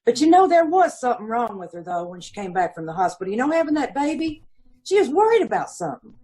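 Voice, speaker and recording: A woman's voice: a little high, sing-song, fast talker, dark tone, slightly rough. A woman playing a gossipy, aggrieved middle-aged landlady and mother of five, talking folksy and ungrammatical in old-time radio style. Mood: grudging. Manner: folksy ungrammatical talk, indignant and self-pitying. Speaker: witness in radio police drama.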